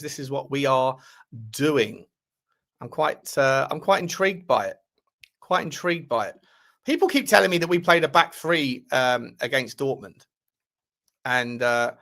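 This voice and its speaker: A man hosting a live football watchalong, hyped and playful as he chats and shouts out viewers. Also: sits high. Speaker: fan channel host.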